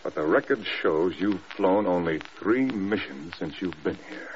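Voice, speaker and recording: Hard-driving military general, clipped commands, scathing, low voice, noisy old radio recording. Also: male voice, lively intonation, a little slow, muffled recording. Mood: cold, scathing. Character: stern, hard-driving male air force general taking command. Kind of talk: mid-century radio drama acting.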